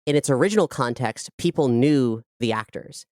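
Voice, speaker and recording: Low, crisp-voiced woman explaining creative work. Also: moderate pace, a little mellow, no echo, studio quality. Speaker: audio drama creator and director, interview guest. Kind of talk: conversational interview explanation.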